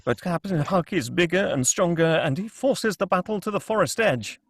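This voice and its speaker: A man narrates a screenplay at a table read, reading out action lines and scene headings. His voice sits a little high and sounds dry and close. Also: lively intonation, normal speed, no room sound. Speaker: narrator reading stage directions.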